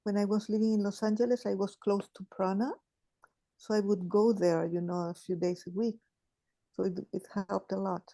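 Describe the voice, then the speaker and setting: A woman, sharing in a group call in a halting, thoughtful way with many restarts. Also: medium pitch, fairly even intonation, warm tone, no room sound. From Chile. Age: 80s. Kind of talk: casual sharing on a video call.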